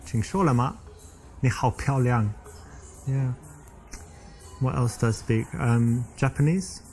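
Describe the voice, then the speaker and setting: A man's voice: mid-range voice, takes it slowly, a little mellow, faint music behind. An actor in his thirties with a British accent playing a boastful, tactless young man rambling on a date. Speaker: game actor and dating coach. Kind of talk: interactive game scene acting.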